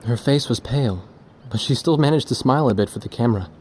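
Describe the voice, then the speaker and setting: An actor playing a thoughtful war photojournalist, narrating past events in a measured, reflective way for a game. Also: male voice, medium pitch, expressive, darkish tone, a little hiss or hum, close to an everyday voice. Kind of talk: scripted cinematic narration.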